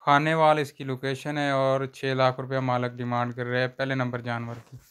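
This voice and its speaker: A man walks viewers through cattle for sale on a farm, talking in plain, unhurried sales patter and filming on a handheld phone outdoors. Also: medium pitch, little pitch movement, fairly bright tone, clean tone. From Pakistan. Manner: repeats a stock religious phrase often. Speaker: livestock seller vlogger. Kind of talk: casual sales walkthrough.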